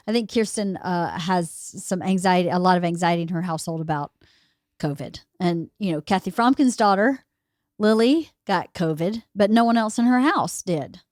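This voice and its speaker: A woman podcast host telling a chatty, rambling story in casual conversation, her voice a little hoarse. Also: lowish voice, lively intonation, normal speed, a little mellow, no room sound, studio quality. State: slightly hoarse, losing her voice. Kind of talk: casual chat.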